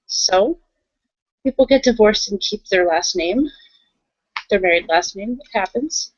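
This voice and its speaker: A woman's voice: mid-range voice, a little slow, lightly acted. A woman playing a young, candid werewolf in an improvised roleplay, casual and blunt as she questions things. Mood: casual, questioning. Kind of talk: improvised in-character roleplay conversation. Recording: dull lo-fi voice recording.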